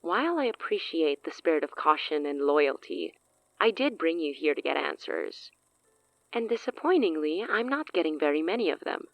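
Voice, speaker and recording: A woman's voice: low-pitched, big pitch swings, moderate pace, gravelly, dry recording, highs cut off, full character voice. A woman playing a smug, menacing sorceress in an audio drama, theatrical and taunting with amused condescension. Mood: coolly probing.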